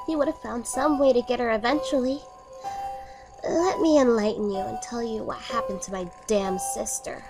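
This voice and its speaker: A woman's voice: on the high side, voice pushed up, close to an everyday voice, low-quality recording. A woman playing a sinister younger sister in a horror story, delivering an ominous, scornful, theatrical monologue. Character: sinister younger sister coldly recounting her sibling's doom. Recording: music under the voice. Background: music.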